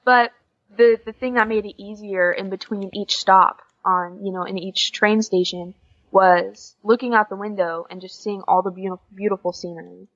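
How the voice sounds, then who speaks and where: A woman's voice: mid-range voice, fairly even intonation, normal speed, a bit bright. A young American woman from Oklahoma chatting casually as a guest in an interview. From Oklahoma, United States. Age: young adult. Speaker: podcast guest, exchange student. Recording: dull lo-fi audio.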